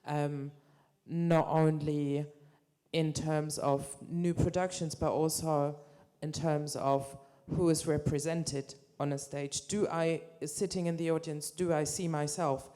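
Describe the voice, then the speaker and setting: A woman speaks on a conference panel in a very low, flat, mellow voice. She is earnest, putting pointed questions with a few fillers. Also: takes it slowly. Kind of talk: panel discussion. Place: conference stage.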